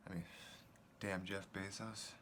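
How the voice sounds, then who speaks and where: A man's voice: deep voice, little pitch movement, measured pace, a bit bright. A young man playing a paranoid, sardonic student with a casual manner in a mockumentary. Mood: smug, paranoid. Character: paranoid, sardonic student conspiracy theorist in sunglasses. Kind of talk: acted mockumentary. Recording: faint background noise.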